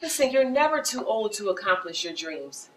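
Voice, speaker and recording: A woman playing a patient, encouraging confidant in a stage play, speaking deliberately. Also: medium pitch, sing-song, a bit bright. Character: patient, encouraging confidant who urges people on. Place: theater stage. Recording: echoey, distant, noisy stage pickup.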